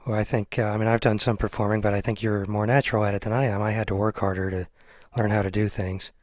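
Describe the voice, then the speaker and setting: Man, slightly low voice, dry close recording, casual interviewer, hesitant, rambling, self-deprecating. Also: flat delivery, fast, a little mellow, no room sound. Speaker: interviewer, show host.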